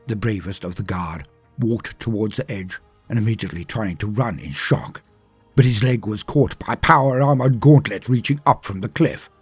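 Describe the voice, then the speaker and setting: A man's voice: fairly low pitch, moderate pace, breathy edge, no echo, faint music behind. A man narrating a scripted story in a dramatic, theatrical and emphatic manner. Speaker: storyteller and lore channel host.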